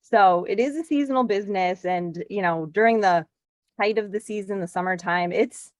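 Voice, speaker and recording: A woman in her forties from Connecticut, chatting as a podcast guest in a lively, talkative way with frequent laughs and filler words. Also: mid-range voice, normal speed, no room sound. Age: 40s. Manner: chatty, animated, laughs often, lots of "like". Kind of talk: casual interview chat.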